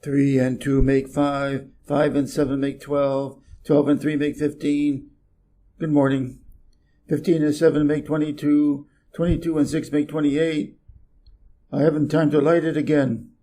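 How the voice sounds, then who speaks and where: A man's voice: a little high, flat delivery, measured pace, mellow. A man voicing a busy, self-important, irritable middle-aged businessman from a storybook, brusque and emphatic. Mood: busy, impatient. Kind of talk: storybook character reading.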